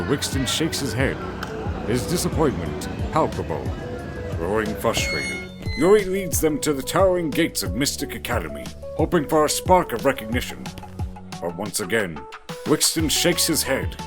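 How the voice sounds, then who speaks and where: A man narrating a scripted story as a dramatic narrator. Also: medium pitch. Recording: music behind the voice. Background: clear background music.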